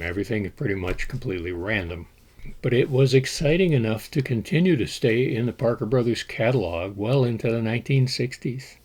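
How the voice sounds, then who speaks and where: A man telling the history of an old board game in a measured storytelling way, with an occasional "uh" as he goes. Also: on the low side, darkish tone. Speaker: board game collector presenting. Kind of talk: scripted history narration.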